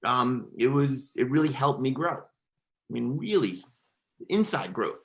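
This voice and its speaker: A man's voice: mid-range voice, animated, slow, a bit bright. A man who stutters, a podcast guest telling his own story in a reflective, conversational way, with repeated words and restarts. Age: adult.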